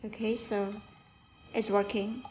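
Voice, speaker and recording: A woman giving an informal step-by-step product tutorial in halting, non-native English, repeating her instructions. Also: medium pitch, flat delivery, highs cut off, background noise. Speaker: product support demonstrator.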